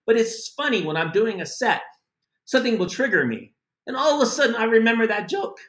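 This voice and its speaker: A middle-aged man from the United States giving a casual spoken language lesson, animated and chatty with asides. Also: very high-pitched, fairly quick, reverberant. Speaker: language teacher.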